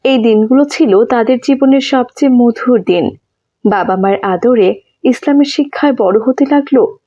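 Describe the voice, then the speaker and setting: A woman's voice: a little high, dark tone, highs cut off. A woman narrating a scripted moral story for children as a storyteller.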